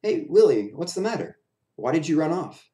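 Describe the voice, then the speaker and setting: A man's voice: a little high, very animated, moderate pace, mellow, pitched above the performer's own voice. A man puts on a cheerful clown friend from a storybook. He is teasing and reassuring, with a raised, emphatic delivery. The room sounds echoey. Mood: teasing, insistent. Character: upbeat, reassuring male circus clown friend. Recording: roomy echo of a bare room.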